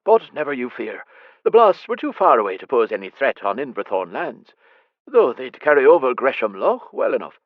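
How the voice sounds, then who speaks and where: A man voicing a grizzled older Irish stablemaster who speaks gently with a lilting, upward inflection. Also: medium pitch, sing-song, moderate pace, no room sound, phone-quality sound, voice pushed up. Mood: reassuring.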